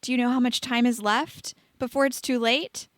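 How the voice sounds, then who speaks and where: A woman voices a teenage boy wizard in a storybook. He is earnest and blunt, asking pointed questions in a fairly high voice. The recording is very dry. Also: steady tone, normal speed, a bit bright, no echo, natural voice. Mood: urgent.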